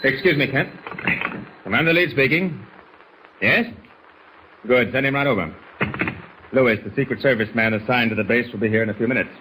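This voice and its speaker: A faintly noisy old radio recording carries a man acting a stern naval commander. He fires off his words very quickly at a middle pitch, clipped and full of authority, in 1940s serial style. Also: close to an everyday voice. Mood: businesslike.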